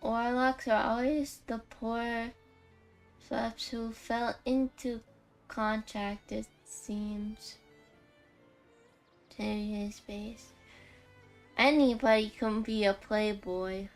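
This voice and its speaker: A woman streams a game with casual, musing commentary and joking asides. Her voice is fairly high and she takes her time between thoughts, with faint music behind her. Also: steady tone, very slow, pauses often. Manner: musing, jokey.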